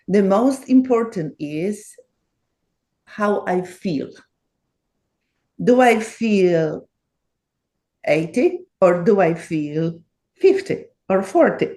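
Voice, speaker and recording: A woman's voice: medium pitch, darkish tone. A woman in her seventies from Poland with a Polish accent, answering interview questions earnestly with many fillers and restarts. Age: 70s. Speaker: guest author.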